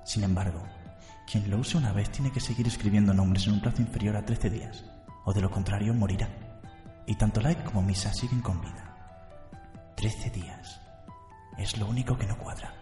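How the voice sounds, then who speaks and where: A man playing an odd, suspicious young detective in a radio drama, deadpan and deliberate. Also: lowish voice, faint music behind, lightly acted. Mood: suspicious, analytical. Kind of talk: radio drama adaptation of anime.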